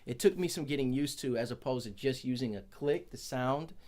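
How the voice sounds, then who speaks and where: A man's voice: mid-range voice, fairly quick, darkish tone. A man, a percussionist, giving a casual, chatty product review from his office, correcting himself and joking as he goes.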